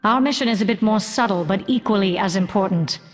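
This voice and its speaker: An actress playing a resolute female commander in a video game, briefing champions in a measured, formal way. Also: mid-range voice, soft background music, lightly acted. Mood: resolute, grave. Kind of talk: video game quest dialogue. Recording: long cavernous reverb.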